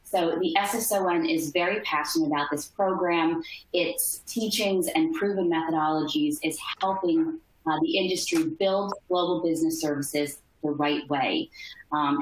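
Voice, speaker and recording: A woman's voice: on the low side, reverberant, poor audio. A woman hosting a business webinar who introduces the guests in a scripted, upbeat way. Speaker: webinar host. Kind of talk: host introduction.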